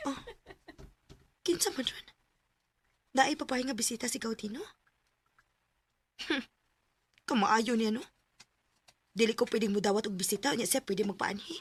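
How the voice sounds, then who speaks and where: A restless young Cebuano woman, higher-pitched. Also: normal speed, pauses often, dry recording. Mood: puzzled, fretful. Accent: Cebuano Filipino. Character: restless young woman, easygoing with her close friend. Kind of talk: radio drama acting. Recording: clearly noisy radio drama recording.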